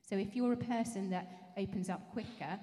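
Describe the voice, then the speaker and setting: A woman giving a measured, explanatory answer as a speaker in a church question session. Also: medium pitch, dark tone. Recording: echoey hall with long reverb.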